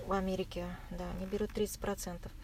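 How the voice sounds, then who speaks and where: A woman recounting her experiences as an interview guest in casual, halting speech, often searching for words. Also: mid-range voice, level tone. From Russia. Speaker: interview guest, marathon runner. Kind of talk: casual spoken recollection. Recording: clear background noise.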